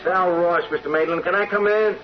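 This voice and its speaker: An actor in a radio drama playing a brash, uneducated, newly rich tough guy who talks in rough, insistent slang. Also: high-pitched, fairly even intonation, a little fast, lightly acted. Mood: tense, dogged. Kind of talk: old-time radio drama acting. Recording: dull old radio recording, music behind.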